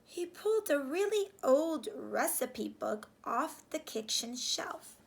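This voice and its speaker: A high-voiced woman reads a picture book to young children as their teacher would. Her delivery is slow and very animated, and she pauses to put questions to her listeners. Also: creaky. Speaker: teacher reading aloud to pupils. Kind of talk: children's storybook read-aloud. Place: small furnished room indoors, seated on sofa.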